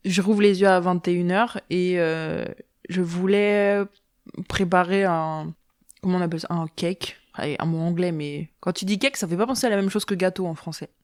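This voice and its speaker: A woman's voice: lowish voice, moderate pace, no echo, studio quality. A woman hosting a podcast in loose, rambling casual chat, laughing often. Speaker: podcast host.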